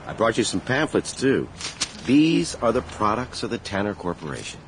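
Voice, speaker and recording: An actor playing a flamboyant, ambitious travelling salesman in a period radio drama, self-assured and flattering. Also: lowish voice, moderate pace, a little hiss or hum. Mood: charming, courting. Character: Beck Tull, flamboyant, ambitious travelling salesman, smooth-talking suitor.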